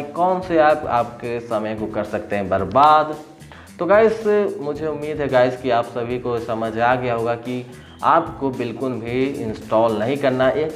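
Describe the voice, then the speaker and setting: Emphatic Indian man reviewing apps, over music. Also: medium pitch. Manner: emphatic, repeats phrases for stress. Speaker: tech video host. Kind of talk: informal app review to camera.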